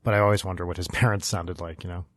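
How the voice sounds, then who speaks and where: A man from North Jersey with a mild Northeastern American accent, a physicist guest speaking in relaxed, casual conversation. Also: deep voice, very fast, dry recording. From North Jersey, USA. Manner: relaxed, conversational, restarts often. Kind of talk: casual panel chat.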